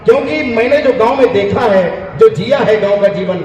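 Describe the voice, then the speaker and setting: A male poet from India introducing and then reciting a village poem on stage in a slow, melodic chant, repeating each refrain. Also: high-pitched, darkish tone, a little hiss or hum. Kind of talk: sung poetry recitation on stage. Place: outdoor festival stage. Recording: echoey dull hall sound from far off.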